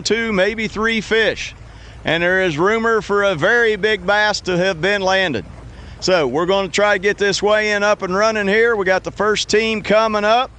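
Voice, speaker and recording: A man hosting a youth fishing tournament weigh-in outdoors at a lake, announcing loudly in an easygoing, joking way. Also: sits high, slight background noise. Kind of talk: live event announcing.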